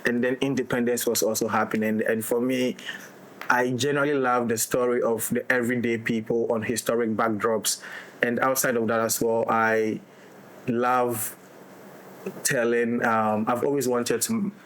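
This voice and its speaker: A man's voice: mid-range voice, level tone, moderate pace, fairly bright tone, rough recording. A man talking reflectively as a director in a discussion of creative work, in short broken phrases. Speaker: director of an audio drama.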